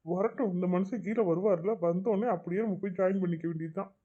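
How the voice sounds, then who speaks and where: A woman's voice: medium pitch, quick pace, narrow, tinny audio, natural voice. Brief: put-upon young cartoon wife, everyday mid voice, voiced by a woman. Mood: worried. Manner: complaining, pleading. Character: harried young daughter-in-law, put-upon. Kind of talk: cartoon voice acting.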